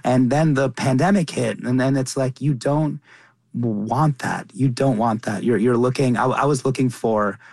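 A man's voice: medium pitch, a little slow, creaky, darkish tone, no room sound, clean studio sound. A young man from Qatar, a chef and cookbook author, talking earnestly as a radio interview guest and often restarting his sentences. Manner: earnest, with frequent restarts and repeated words.

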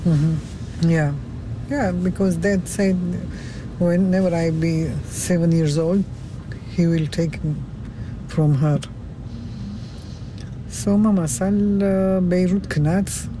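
An older woman speaking slowly and mixing languages in a recorded family interview. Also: lowish voice, steady tone. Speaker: interviewee's mother. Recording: noisy home recording with car noise.

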